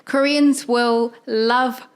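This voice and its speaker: A woman hosting a podcast, asking questions in a lively, chatty way with quick asides. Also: on the high side, smooth. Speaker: podcast host. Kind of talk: casual interview chat.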